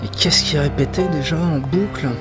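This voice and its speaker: A man's voice: a little high, moderate pace, close to an everyday voice. Man playing a weary, stammering dockworker, music behind. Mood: troubled, puzzled. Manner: hesitant, stammering, muttering to himself. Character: weary, anxious male dockworker in a fantasy port. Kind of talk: audio drama acting.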